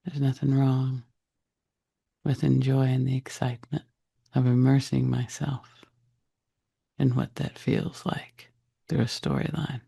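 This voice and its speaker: Guy doing guided meditation, really slow n deliberate, mellow breathy voice, short phrases w big pauses. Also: medium pitch, monotone.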